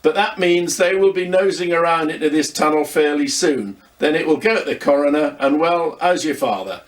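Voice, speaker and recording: A man voicing a gruff, blustering pub landlord who talks in a conspiratorial way. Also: on the high side, moderate pace, recorded from a distance, voice pushed up, lightly acted.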